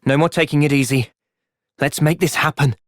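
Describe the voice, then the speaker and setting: An actor playing an earnest, thoughtful young male hero in a fantasy video game, speaking sincerely and clearly. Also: fairly high pitch, fairly even intonation, normal speed, no room sound, studio quality, natural voice. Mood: urgent, intense. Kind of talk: video game cutscene voice acting.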